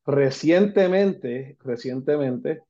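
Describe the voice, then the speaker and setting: A man's voice: medium pitch, expressive, takes it slowly, mellow. Puerto rican man, 40s, interview guest, switches languages, slightly dull audio. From Puerto Rico. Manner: switches between Spanish and English, many fillers. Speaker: guest, basketball agent. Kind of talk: casual interview talk.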